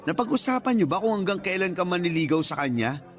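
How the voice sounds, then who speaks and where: Blunt, high-pitched Filipino male friend. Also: very animated, fast, faint music behind. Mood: curious, probing. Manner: frank, slangy, scolding. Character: blunt, protective male friend giving love advice. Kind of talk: radio drama acting in casual dialogue.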